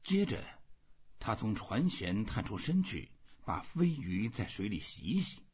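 A man reading literary fiction aloud as scripted, measured and expressive storytelling. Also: lowish voice, husky. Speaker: audiobook reader. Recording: dull, muffled lo-fi audio.

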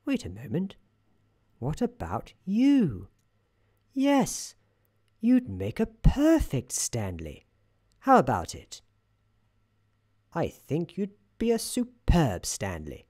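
A performer voicing a genial, theatrical narrator in a soft-spoken ASMR roleplay, speaking gently and formally with deliberate pauses. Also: mid-range voice, wildly swooping pitch, husky, no room sound, clean studio sound, heavily acted. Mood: bright, coaxing. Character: genial, theatrical storybook narrator guiding someone to relax.

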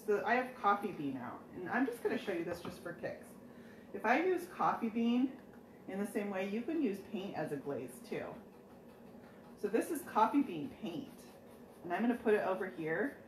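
A woman's voice: mid-range voice, sing-song, moderate pace, noisy background. Chatty woman in an echoey room. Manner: chatty, laughs often, jokes with viewers. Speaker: furniture painting host. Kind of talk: casual live demo chat. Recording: echoey room, mic at a distance.

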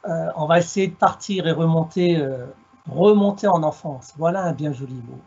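A man's voice: a little high, a little mellow, husky, lo-fi sound. A middle-aged man from Alsace, giving a reflective storytelling talk over a video call with a headset. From France, Alsace. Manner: measured, reflective, plays on words. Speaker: guest speaker, storyteller. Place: home room on a video call.

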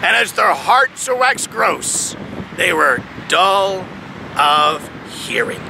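A man's voice: sits high, big pitch swings, takes it slowly, gravelly. A man in his thirties preaching an emphatic sermon in an open field, reading scripture aloud and rising and falling for emphasis. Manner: emphatic, preaching with swells and drops, reads verses aloud. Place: large open field outdoors. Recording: outdoor traffic noise behind. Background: distant traffic noise.

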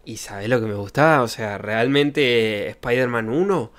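A young Argentine man talks casually on a livestream. His voice is medium-pitched and close to the mic, with a jokey, slangy, rambling delivery. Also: a little slow, a bit bright. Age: young adult. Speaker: streamer. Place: small bedroom streaming setup. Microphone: desk microphone with pop filter, close.

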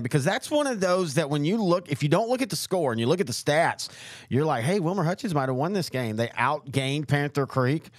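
A man's voice: medium pitch, no room sound, clean studio sound. A man co-hosting a sports show, casually breaking down games with emphatic repetition. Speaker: sports show co-host and analyst.

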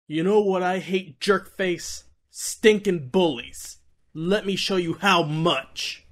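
An actor playing a gruff, slangy mutant turtle vigilante in a comic dub, drawing out his words. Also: high-pitched, a bit bright. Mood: angry menace. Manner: slangy, drawn-out delivery. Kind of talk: amateur comic dub acting.

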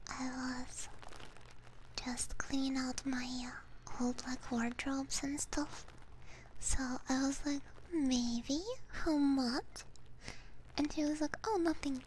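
Slow, whispering woman in ASMR style. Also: sits high, poor audio. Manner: hushed, mostly whispered, unhurried and halting. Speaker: ASMR streamer. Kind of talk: ASMR whispering, casual chat with viewers. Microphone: close binaural ear microphone. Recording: clear background noise.

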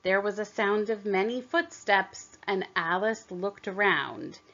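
A woman reading a children's story aloud in deliberate, expressive narration, on a slightly dull recording. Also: mid-range voice. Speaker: storybook reader.

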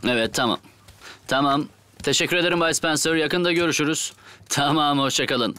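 A man acting as a friendly, patient young father in a children's TV drama dub, gentle and encouraging. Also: fairly high pitch, fairly quick, clean tone. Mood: businesslike. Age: young adult. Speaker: dubbing actor.

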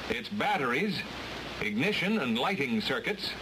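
A man's voice: mid-range voice, sing-song. Hissy vintage American male film narrator. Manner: measured, instructional, clearly enunciated. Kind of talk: scripted mid-century training film narration. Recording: hissy, noisy old film soundtrack transfer. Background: loud hiss.

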